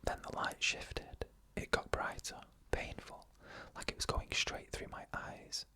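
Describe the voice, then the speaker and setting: An ASMR storyteller reading first-person tales in a fully unvoiced, hushed whisper, slow and even.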